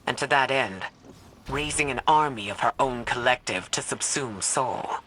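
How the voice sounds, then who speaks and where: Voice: medium pitch, normal speed, bright, forceful tone, slight background noise, lightly acted, rough recording. An actor playing a serious, worried ally giving a briefing in a video game, earnest and concerned.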